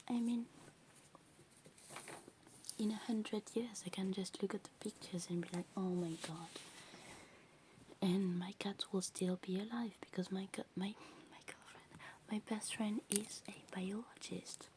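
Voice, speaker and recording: A woman with English as a second language, whispering softly in a rambling ASMR show-and-tell full of fillers. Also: mid-range voice, a little hiss or hum. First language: not English. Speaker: ASMR creator.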